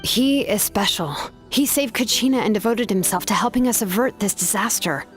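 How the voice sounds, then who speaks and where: A woman's voice: mid-range voice, normal speed, soft background music, lightly acted. A woman voicing an earnest, resolute young craftsman in a video game, sincere, polite and ready for self-sacrifice.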